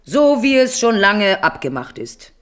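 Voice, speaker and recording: An actress playing a cool, calculating queen mother in a 1970s radio drama, smooth and persuasive with a mocking edge. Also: mid-range voice, big pitch swings, unhurried, fairly bright tone. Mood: scheming.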